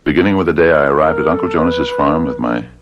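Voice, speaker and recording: An actor playing a nervous, unhinged middle-aged husband in a radio drama, halting and agitated, often repeating himself. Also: male voice, rumbling low, lo-fi sound. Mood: agitated, insistent.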